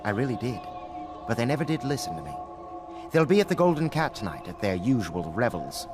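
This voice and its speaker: An actor playing a troubled, refined aristocrat lord, speaking with regret in a dramatic video game scene. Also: male voice, medium pitch, very animated, a little fast, over music, lightly acted. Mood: grave, regretful. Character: troubled aristocrat lord betraying his own brothers.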